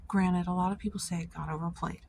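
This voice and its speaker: A woman's voice: on the low side, steady tone, fast, creaky, darkish tone, slight background noise. A woman giving casual vlog commentary in an informal, slangy way, peppered with "like" and swearing. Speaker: video creator.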